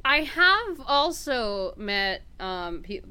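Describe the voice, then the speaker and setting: A woman co-hosting a podcast over remote voice chat, chatty and halting, often restarting her sentences. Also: mid-range voice, sing-song, sharp tone, clean tone. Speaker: podcast co-host. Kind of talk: casual chat.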